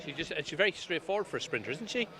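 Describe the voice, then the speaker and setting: Male sports interviewer: rapid, bright voice, chatty outdoor post-race style. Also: on the high side, sing-song. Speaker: racing TV interviewer. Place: outdoors at a racecourse.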